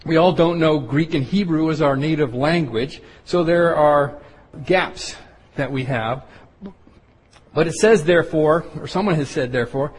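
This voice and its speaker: A man's voice: a little high, a little slow, breathy edge. A man teaching a Bible study sermon in an earnest, explanatory way, building lists of points. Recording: long reverb of a hall.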